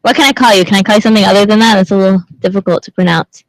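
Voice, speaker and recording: A woman's voice: mid-range voice, racing, no room sound, low-quality recording. A young woman who grew up in Indonesia, hosting a casual livestream and chatting with viewers, greeting them and asking lots of questions. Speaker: livestream host.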